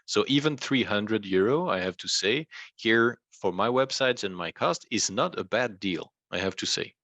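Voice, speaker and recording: Low-voiced man, close and dry. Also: lively intonation, moderate pace, a bit bright, dry recording. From Belgium. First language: not English. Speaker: interview guest, rank and rent website entrepreneur. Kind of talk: explanatory conversational talk.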